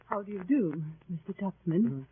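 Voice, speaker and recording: Coy catty spinster aunt, gushy, up n down pitch, bit husky, muffled old radio. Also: female voice, expressive, moderate pace, no room sound. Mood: bashful. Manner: simpering, gushing, affected. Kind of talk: 1930s radio acting.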